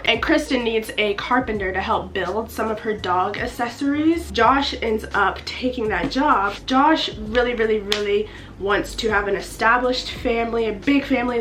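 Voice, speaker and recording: Young woman, 19, stuffy nose, gushing book chat, slightly high voice. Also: fairly bright tone, rough recording. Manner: bubbly, gushing. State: congested. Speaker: book vlogger. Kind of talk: casual chat to camera.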